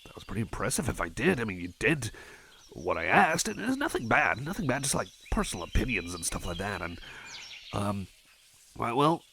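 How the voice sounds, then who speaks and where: A man's voice: on the low side, very animated, vocal fry, fairly bright tone, slight background noise, exaggerated voice. A man playing a shy, friendly cyborg chum in an ASMR roleplay, stammering, repeating himself and putting himself down. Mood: friendly, rambling. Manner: stammering, halting, repeats phrases, self-effacing. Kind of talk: ASMR roleplay, personal attention.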